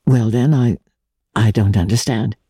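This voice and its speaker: Female reader as anxious young society gentleman in literary drama: formal, urgent, husky, recorded dry. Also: very deep voice, unhurried, dry recording, exaggerated voice. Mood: desperate.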